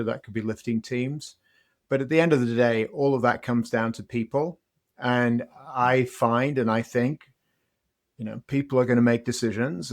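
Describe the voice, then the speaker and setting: A middle-aged man with a British accent speaks as an interview guest, reflective and measured. His voice sits in a middle pitch. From Britain. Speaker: guest, company CEO and author. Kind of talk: interview conversation.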